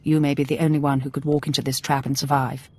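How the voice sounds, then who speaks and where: A woman's voice: a bass voice, little pitch movement, quick pace, a little mellow. An actress playing a composed female imperial intelligence officer in a video game, giving briefings in a grave, clipped manner. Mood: stern. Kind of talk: video game character acting.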